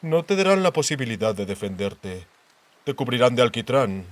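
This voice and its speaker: A man voicing a grave, worldly king in an audiobook, speaking in a measured, deliberate, cautionary way. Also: medium pitch, big pitch swings, lightly acted. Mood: grave warning. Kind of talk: audiobook character dialogue.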